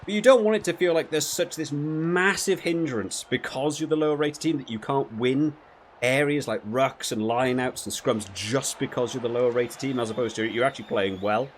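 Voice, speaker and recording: Male gaming creator, mid-pitched, casual rambling play-by-play, faint ambient noise. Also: moderate pace. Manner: rambling, thinking aloud with frequent hedging. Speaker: gaming video creator reviewing a rugby game. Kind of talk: casual gameplay commentary.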